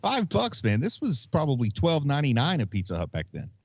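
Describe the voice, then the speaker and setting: Lively American man in casual podcast chat. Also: medium pitch, a little fast, creaky, no echo. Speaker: podcast co-host. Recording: slightly dull, lo-fi podcast audio.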